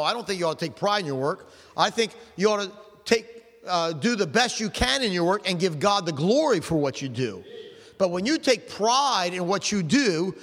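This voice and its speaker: An older man preaching a sermon as pastor, with fervent emphasis, slowing down to stress scripture and putting questions to the congregation. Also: high-pitched, fairly bright tone. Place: church sanctuary. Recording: long reverb of a large hall.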